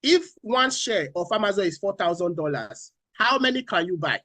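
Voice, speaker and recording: A man's voice: sits high, very animated, normal speed, pushed and bright, no room sound. A man from Nigeria, speaking as a finance panelist on a talk show, repeating key phrases and asking rhetorical questions.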